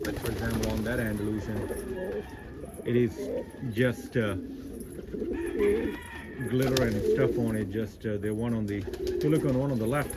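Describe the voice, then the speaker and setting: A man's voice: fairly low pitch, fairly even intonation, a little slow, pauses often. A man from India giving a casual, rambling tour of his backyard pigeon loft, full of fillers and restarts. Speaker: pigeon keeper showing his birds. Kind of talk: casual vlog walkthrough. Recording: loud bird noise outdoors.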